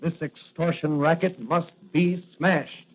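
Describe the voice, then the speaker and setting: A man's voice: fairly high pitch, fairly even intonation, takes it slowly, over music. A man playing an earnest, resolute young American policeman in a 1940s radio drama. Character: earnest, upright young rookie police officer hero. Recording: tinny, noisy old radio recording.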